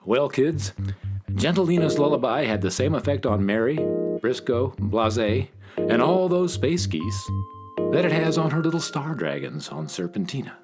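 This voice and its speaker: Animated male children's storyteller. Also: mid-range voice, moderate pace, loud music behind the voice. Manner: expressive storytelling. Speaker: narrator. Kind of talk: children's radio story narration.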